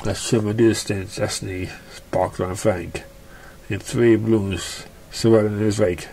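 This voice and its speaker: A storyteller narrating fan fiction expressively, with a somewhat halting, stumbling delivery. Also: low voice, steady tone, warm tone, breathy edge.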